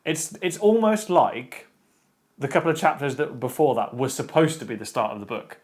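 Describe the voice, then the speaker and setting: A man's voice: mid-range voice, expressive, normal speed. Opinionated man in casual conversation. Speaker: discussion show panelist. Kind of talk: casual chat, book discussion.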